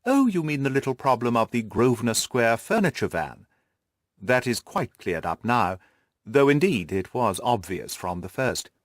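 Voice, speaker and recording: A keen gentleman detective from an audiobook, acted out by a male reader, crisp and inquisitive. His pitch swings widely with his amused curiosity, in a dry, close sound. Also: sing-song, moderate pace, no room sound, close to an everyday voice. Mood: wry, brisk. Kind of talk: audiobook character voice.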